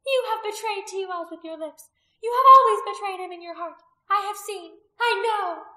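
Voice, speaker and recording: Woman as fanatical storybook priestess, very high piercing voice, commanding, accusing, cruel, roomy echo. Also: normal speed, sharp tone, heavily acted. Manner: shrill, accusing, imperious.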